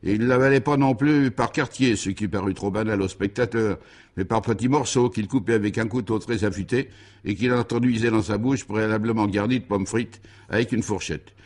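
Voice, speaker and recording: A man's voice: on the low side, a little fast, darkish tone. A man narrating a scripted absurd comic radio serial in a mock-solemn, grandiloquent and emphatic way.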